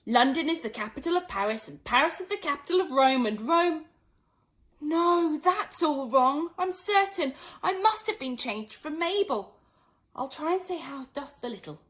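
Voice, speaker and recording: A woman plays a fretful little storybook girl with a high, very changeable voice. She is earnest and muddled, quick to scold herself. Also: animated, fairly bright tone. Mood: puzzled, anxious.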